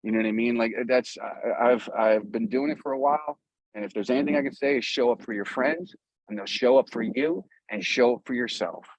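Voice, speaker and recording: A man's voice: mid-range voice, fairly quick, no echo, rough recording. A man chatting casually as a musician being interviewed, in an earnest, rambling, slangy way.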